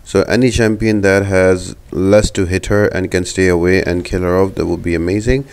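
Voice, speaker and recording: A man's voice: low voice, fairly even intonation, moderate pace, a little mellow, clean tone. A man giving casual, explanatory walkthrough commentary for a video game as the host of a guide.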